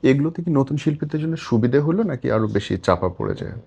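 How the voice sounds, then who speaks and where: A man's voice: mid-range voice, animated, fast, creaky, darkish tone, dull audio, a little hiss or hum. A man asking casual questions as an interviewer.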